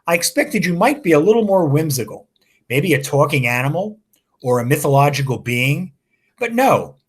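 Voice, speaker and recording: A man voicing a brisk British woman auditor who talks in a dry, teasing, condescending way in a read-aloud story. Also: on the high side, husky.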